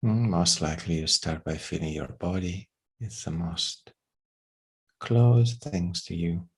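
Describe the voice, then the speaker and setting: A man leading a guided meditation in a gentle, unhurried way, with long pauses between phrases. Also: low-pitched, slightly rough.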